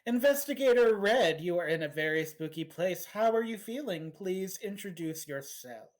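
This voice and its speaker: A woman with a low voice guides her players through a haunted setting as game master. She narrates with a playful, theatrical flair. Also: lively intonation, normal speed, darkish tone. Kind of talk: narrating a tabletop horror game.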